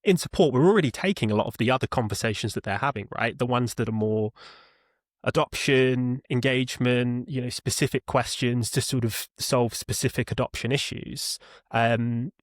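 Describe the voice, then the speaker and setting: A man talking as a podcast guest, explaining his work conversationally and haltingly, often repeating words and correcting himself. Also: medium pitch, no room sound, clean studio sound. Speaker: podcast guest, customer support leader.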